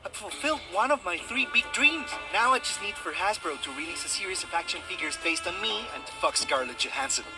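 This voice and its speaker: A young American wannabe superhero for a video game. He is cocky and jokey, with a high voice that swings widely in pitch. Loud music plays over him. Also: expressive, fairly quick, hardly any pauses. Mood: eager. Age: young adult. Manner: cocky, joking, foul-mouthed. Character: wisecracking, starry-eyed young male amateur masked superhero. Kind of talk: video game dialogue and first-person narration.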